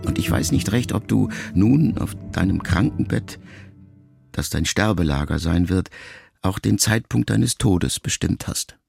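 An actor playing a middle-aged writer son who addresses his mother in a measured, introspective, quietly reproachful radio monologue. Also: low-pitched, expressive, creaky, faint music behind, heavily acted. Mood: sombre. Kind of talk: literary monologue in a radio play.

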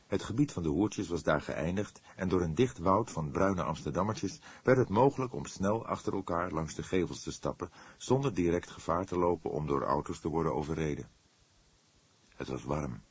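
Man, low voice, slightly rough, dramatic crime audiobook narration, measured, dry studio sound. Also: dry recording. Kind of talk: dramatic scripted narration of a detective novel.

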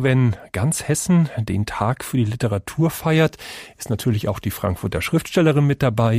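A man presenting on the radio, reading a scripted introduction to a cultural programme in a husky voice. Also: fairly low pitch, warm tone, no room sound, studio quality. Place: dry radio studio.

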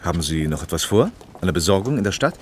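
A man's voice: sits low, very animated, fast, a little hiss or hum. An actor in a German radio play playing a matter-of-fact male colleague, polite and decisive. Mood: courteous, businesslike.

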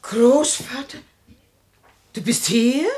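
A woman's voice: on the high side, extremely slow, darkish tone. An actress playing a worried, plain-spoken wife and mother in a radio drama. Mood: alarmed. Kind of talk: theatrical radio drama acting.

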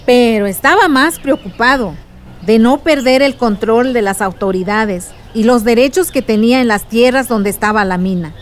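Tía narradora actuada por una mujer, voz algo aguda. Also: female voice, a little high, normal speed, natural voice. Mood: grave narrating. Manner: storytelling, explanatory. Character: aunt recounting past dangers to her niece, warm, resolute. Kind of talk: radio drama acting.

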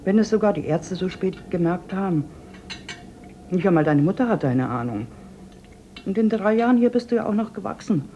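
An actress playing the miller's tired wife, speaking quietly and firmly to calm others. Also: female voice, mid-range voice, normal speed, long pauses, muffled recording, slight background noise, lightly acted. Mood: weary, firm.

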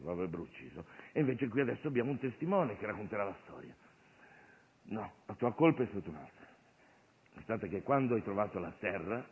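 A man's voice: on the low side, slightly rough, voice pushed down. A man playing an irreverent, streetwise Jesus, commanding and jocular in a colloquial comic stage monologue. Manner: commanding, colloquial, mock-preaching. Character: irreverent streetwise Jesus, bossy, jocular master miracle-worker. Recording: phone-like radio broadcast of stage show.

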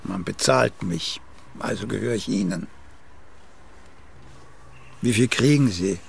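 An actor playing a vain, aging, once-celebrated playwright in a radio play, condescending and pompous, with a gravelly, husky voice and drawn-out emphasis. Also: male voice, on the low side, a little hiss or hum, full character voice. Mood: condescending, grandiose. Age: older. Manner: pompous, self-satisfied, drawn-out emphatic delivery.

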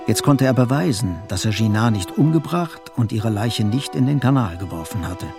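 A man's voice: mid-range voice, animated, moderate pace, over music, lightly acted. A man narrating a literary crime story as the grave narrator of a radio drama. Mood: grave, measured.

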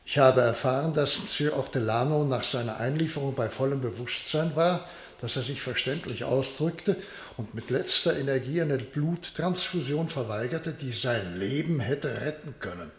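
In a roomy, slightly noisy recording, a man acts out a stern presiding judge for a radio play. He speaks with formal, deliberate authority at a medium pitch. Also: close to an everyday voice. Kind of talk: German radio play acting, formal read statement. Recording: echoey courtroom sound with background noise.

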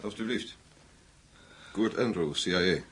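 An actor playing a composed, shrewd American CIA agent in a radio drama, measured, polite and probing. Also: male voice, sits low, a bit bright, husky, full character voice. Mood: calm, persuasive. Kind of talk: Dutch radio drama acting.

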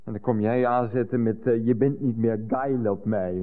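An actor playing an irritable, evasive and jealous Dutch lover with a Dutch accent, blustering self-importantly in a radio play. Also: male voice, mid-range voice, steady tone, normal speed, dark tone, big-room sound, lightly acted. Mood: defensive, pompous.

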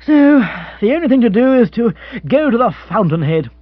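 A man's voice: very high voice, big pitch swings, moderate pace, dry recording, phone-quality sound, pitched above the performer's own voice. A man voicing a dim, self-absorbed chap, for an audiobook. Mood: listless, fussed. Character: dim, moody upper-class English chap, blithely self-absorbed.

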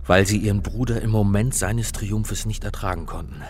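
A man's voice: fairly low pitch, normal speed, darkish tone, a little hiss or hum, close to an everyday voice. An actor playing an anxious, bewildered man caught in a mystery, hesitant and stammering, in a radio drama. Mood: nervous defiance. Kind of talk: German radio drama acting.

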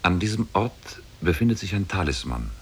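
A man's voice: low voice, sing-song, normal speed, slightly rough, exaggerated voice. An actor playing a mighty, solemn demon sorcerer in love with his captive, in a 1950s German radio drama. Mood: boastful, confiding. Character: mighty demon sorcerer, lovestruck captor of a woman. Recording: old 1950s radio play on cassette.

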